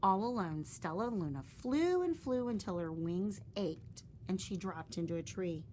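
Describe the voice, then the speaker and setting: A woman reading a children's picture book aloud with expressive, animated narration. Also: mid-range voice, a little slow, slight background noise, rough recording. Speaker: storyteller.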